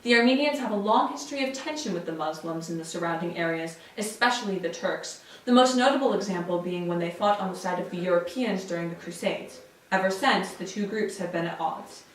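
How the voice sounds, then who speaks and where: A woman's voice: fairly low pitch, fairly quick. Young female student presenter, scripted narration, heard from a distance in a strongly reverberant room. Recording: very echoey room, distant mic.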